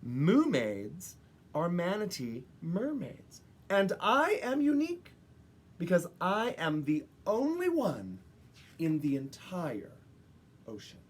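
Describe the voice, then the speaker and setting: A woman's voice: on the low side, wildly swooping pitch, slow pace, a little mellow, slightly rough, full character voice. A woman voicing a sensitive, self-conscious young manatee girl in a storybook, speaking earnestly. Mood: proud.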